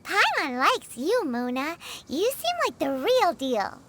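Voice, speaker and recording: A woman voicing a tiny childlike fairy companion in a video game, squeaky and perky, with music behind her. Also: huge pitch swings, takes it slowly, heavy background noise, full character voice. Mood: cheerful. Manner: perky, speaks of herself in third person. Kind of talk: video game character acting.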